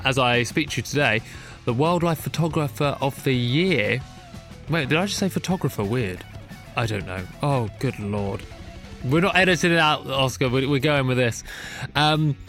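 A young man with a British accent, a little high for a man, chats through a nature podcast with a stuffy, bunged-up voice. He mocks himself and laughs at his own slips. Faint music plays under him. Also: fairly bright tone. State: bunged up with a cold. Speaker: podcast host. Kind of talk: casual chat.

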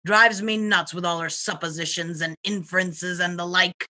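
A woman's voice: lowish voice, steady tone, moderate pace, pushed and bright. A woman playing a cocky, cynical society husband in a comic murder mystery reading, brash and dismissive. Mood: wry.

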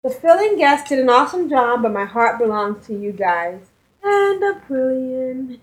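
A woman's voice: high-pitched, very animated, normal speed, low-quality recording. A woman co-hosting a gaming podcast, reading listener emails in a cheerful, giggly way. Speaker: podcast co-host. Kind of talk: casual chat, reading listener emails aloud.

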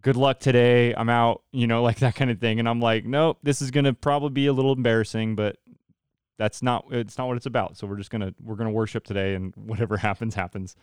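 A man's voice: mid-range voice, steady tone, fast talker, clear, resonant tone, no echo, clean studio sound. A man hosting a casual interview podcast, chatting in a self-deprecating, joking way. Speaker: podcast host; church worship leader.

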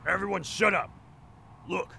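A man playing a frantic battlefield soldier who shouts urgently in an audio drama. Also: high-pitched, unhurried, vocal fry, a little hiss or hum, heavily acted. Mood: frantic, aggressive. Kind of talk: scripted audio drama acting.

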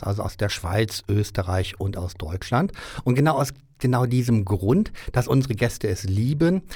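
Männliche Gaststimme, trocken im Studio. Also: male voice, medium pitch, darkish tone, dry recording. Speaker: cruise line business development director. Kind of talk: interview answers. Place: audio studio.

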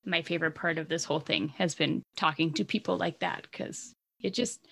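A woman's voice: deep voice, steady tone, moderate pace, creaky. Giggly Canadian woman podcast co-host. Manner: giggly, chatty, lots of "like". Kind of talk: casual chat.

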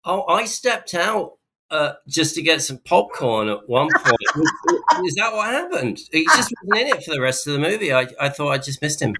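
A man's voice: on the high side, big pitch swings, normal speed, creaky, background noise. A man speaking as a podcast guest, with dry, self-deprecating humor and hesitant fillers. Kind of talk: casual podcast chat.

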